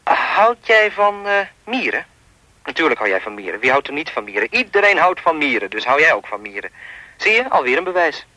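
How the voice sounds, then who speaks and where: A man's voice: a little high, sing-song, a little fast, pushed and bright, no echo, full character voice. An actor playing a creepy, insistent anonymous caller in a radio play, needling and insinuating as he speaks. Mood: taunting, smug.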